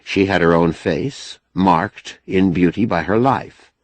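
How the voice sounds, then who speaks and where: A man narrating a novel as an audiobook in a measured, expressive storytelling manner. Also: sits low, dry recording. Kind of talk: scripted literary narration.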